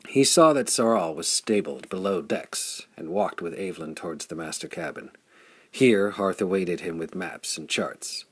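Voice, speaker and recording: A man's voice: low voice, normal speed, a bit bright, slightly rough, dry recording. A man reading a pulp adventure story as scripted audiobook narration.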